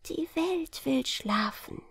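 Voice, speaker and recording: A woman's voice: high-pitched, lively intonation, slow, creaky, no room sound, studio quality, voice pushed up, full character voice. A woman whispering as tiny underground folk singing. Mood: hushed lullaby. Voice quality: soft airy whispered singsong. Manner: lilting lullaby chant. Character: chorus of tiny gray underground dwarf folk.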